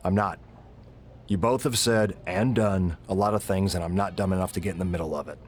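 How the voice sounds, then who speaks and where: A man playing a pragmatic wrestling official in a game cutscene, speaking in a measured, matter-of-fact way. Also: deep voice, a little mellow, a little hiss or hum, close to an everyday voice. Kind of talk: scripted game cutscene dialogue.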